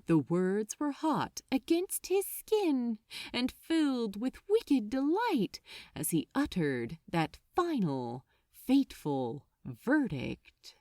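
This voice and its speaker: A woman narrating fiction from a script, expressive, deliberate and dramatic. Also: a little high, no echo, clean studio sound.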